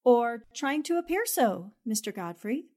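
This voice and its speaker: Woman acting as a 1940s radio girl reporter, high voice, brisk and in charge. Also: sing-song, darkish tone. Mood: calm, decisive. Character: brisk, self-possessed woman newspaper reporter.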